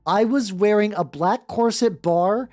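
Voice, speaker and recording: A woman's voice: medium pitch, unhurried, darkish tone. A woman streamer with a playful, joking manner, chatting casually and reading fanfiction aloud for comic effect. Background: background music.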